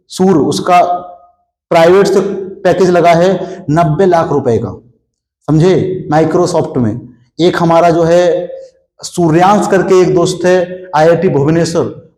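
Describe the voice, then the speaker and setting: A man's voice: fairly high pitch, a little mellow, reverberant. A young man with a sore throat, teaching a physics class in a hot studio, explaining step by step and asking students questions that he answers himself. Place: studio with a board, hot, no air conditioning.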